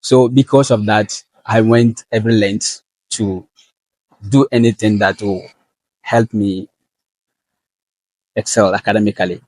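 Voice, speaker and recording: A young man from northern Ghana with a Ghanaian accent, answering interview questions in an earnest, measured way. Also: on the low side, steady tone, darkish tone, dry recording, low-quality recording. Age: young adult. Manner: earnest and measured, with frequent fillers. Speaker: guest, valedictorian student.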